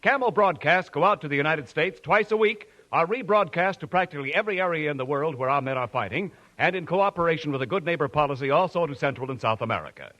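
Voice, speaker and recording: A man's voice: fairly high pitch, expressive, fast, few pauses, a bit bright, highs cut off. A man reading polished, upbeat commercial announcements on a 1940s radio show. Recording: 1940s radio broadcast with music.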